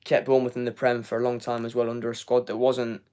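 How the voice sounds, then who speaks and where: A man's voice: mid-range voice, monotone, fast talker, warm tone, clear, resonant tone. A young man hosting a live football fan review, losing his voice, rambling with repeated words and many fillers. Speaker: fan channel host. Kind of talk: live match review, reading chat.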